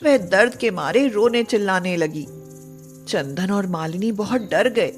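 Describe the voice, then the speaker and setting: A woman narrating a moral storybook tale in a measured, scripted way. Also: medium pitch, animated, faint music behind.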